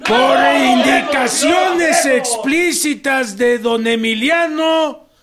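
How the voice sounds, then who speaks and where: An actor playing a stern revolutionary delegate speaking formally in a radio drama. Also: male voice, extremely high pitch, drawn-out words, exaggerated voice. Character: stern male peasant-army delegate delivering a veto. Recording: loud crowd behind the voice.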